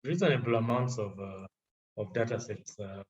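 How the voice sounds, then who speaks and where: A man chairing an online meeting, halting and full of fillers, heard over a dull lo-fi line. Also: fairly low pitch. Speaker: meeting moderator.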